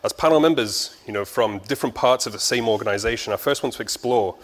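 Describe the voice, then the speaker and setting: A man moderating a panel discussion on stage, brisk and sometimes restarting his sentences. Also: medium pitch. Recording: hall reverb.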